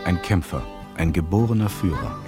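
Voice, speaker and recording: A composed narrator tells a chronicle in a radio drama. His voice is low, with a measured storytelling delivery. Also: animated, music in the background. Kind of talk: scripted radio play narration.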